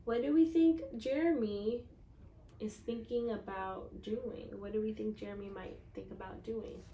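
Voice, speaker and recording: A woman reading a children's picture book aloud expressively, acting out the dialogue and pausing to put questions to listeners. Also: mid-range voice, warm tone, roomy sound, muffled recording, slight background noise. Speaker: read-aloud storyteller.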